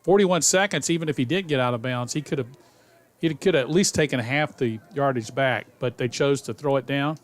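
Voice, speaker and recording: A man giving conversational, opinionated color commentary on a high school football radio broadcast. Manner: conversational, opinionated, quick to react.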